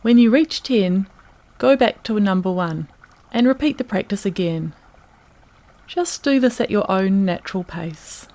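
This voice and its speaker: A woman narrating a guided meditation in a calm, gentle, unhurried manner, leaving long pauses between phrases. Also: mid-range voice.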